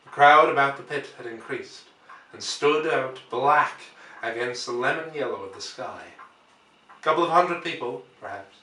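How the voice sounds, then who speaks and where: Male audiobook narrator, forceful bright tone, deliberate dramatic delivery, echoey room with the mic set back. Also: mid-range voice. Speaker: audiobook reader. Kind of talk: dramatic scripted narration of a classic novel. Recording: roomy echo, distant microphone.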